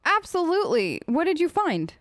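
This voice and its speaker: A woman's voice: very high-pitched, huge pitch swings, moderate pace, no echo, studio quality. A chatty woman doing role-play let's play commentary, answering game characters as if she were one of them. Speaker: gaming host, player.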